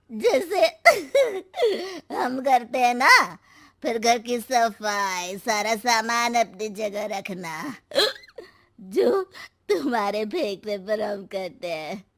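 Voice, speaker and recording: High-pitched, drawling tipsy fed-up wife. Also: female voice, a bit bright, full character voice. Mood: scolding. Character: fed-up drunk wife loudly airing grievances against husband. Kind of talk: comic Hindi cartoon dubbing.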